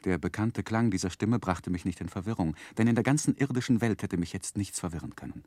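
An actor playing an earnest, romantic gentleman narrating his own youth in measured, literary for a 1960s radio drama. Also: sits low, expressive, no echo. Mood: wry, reflective. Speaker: narrator and main character. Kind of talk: dramatic first-person narration, 1960s German radio play.

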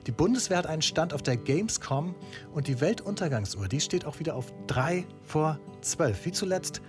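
A man's voice: a little high, animated, moderate pace, music in the background, natural voice. A man playing an upbeat radio presenter, chatty and eager as he interviews a band. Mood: chatty, intrigued.

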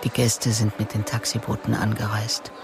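A man narrating a crime radio drama in a measured, descriptive, scripted style. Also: lowish voice, monotone, natural voice. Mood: measured, reflective. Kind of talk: scripted present-tense narration. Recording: loud music under the narration. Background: loud music.

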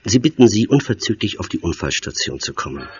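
A very low, falsely soothing officer. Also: male voice, very animated, a little fast, a little mellow. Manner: polite, smooth, insistent. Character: falsely soothing man posing as a helpful policeman. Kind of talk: German horror audio drama acting. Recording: dull tape with faint noise.

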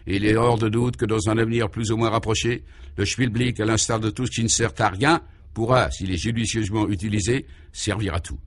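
A man voicing a solemn, earnest inventor who speaks formally and with measured self-importance in a comic radio serial. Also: lowish voice, steady tone, a little hiss or hum, close to an everyday voice. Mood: solemn assurance. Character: solemn second inventor brother, modest yet self-important.

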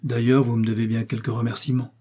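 A man reading an audiobook, voicing a powerful, scheming older cardinal who speaks in a smooth, persuasive, lofty way. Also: medium pitch, flat delivery, fast talker, dark tone, lightly acted.

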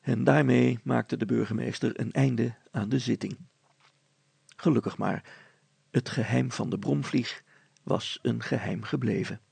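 Male storyteller for a children's tale: gravelly, slow-paced, scripted narration. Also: mid-range voice, steady tone, a little mellow, no echo.

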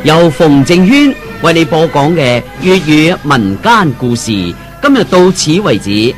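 Male radio announcer, scripted sign-off, animated voice, music clearly behind. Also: a little high, measured pace.

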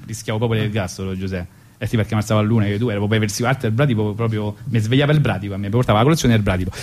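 A man's voice: medium pitch, a little mellow. A man with a Roman accent hosting a radio show in an ironic, colloquial comic monologue style, rambling and repeating himself.

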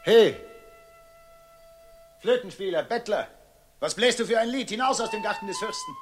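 An actor in a radio drama playing a harsh household slave who barks orders loudly at beggars. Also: male voice, high-pitched, lively intonation, normal speed, soft background music. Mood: angry, barking. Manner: loud, barking.

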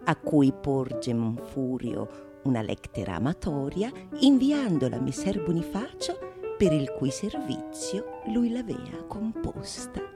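A woman's voice: deep voice, sing-song, slow pace, over loud music. A woman, a stage actress, reading scripted narration in a theatrical manner.

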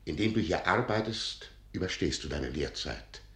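An actor playing an eccentric, imposing older archivist and secret magician in a radio play, theatrical, emphatic and solemn. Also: male voice, low voice, lively intonation, normal speed, a bit bright, roomy sound. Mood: solemn, commanding.

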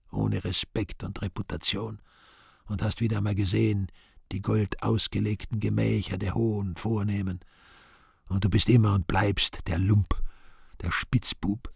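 An actor playing a bitter, self-loathing yet proud devil in a radio play, gravelly and husky, brooding theatrically with sudden swells and hushes. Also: male voice, lowish voice, dry recording, clean studio sound, exaggerated voice. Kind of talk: German radio play acting.

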